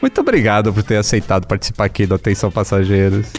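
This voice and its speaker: A Brazilian man with a Brazilian Portuguese accent hosts a relaxed interview, chatty and quick to laugh. His voice swings lively up and down, with soft music playing underneath. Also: fairly low pitch. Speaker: podcast host. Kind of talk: casual interview chat.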